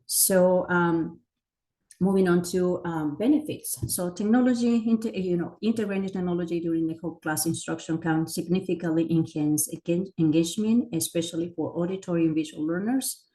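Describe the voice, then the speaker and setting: Presenter: middle-aged woman, low voice, full of hesitations and restarts. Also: moderate pace, mellow. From Chile. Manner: many fillers and self-corrections. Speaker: educator presenting a teacher training. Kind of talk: conference presentation.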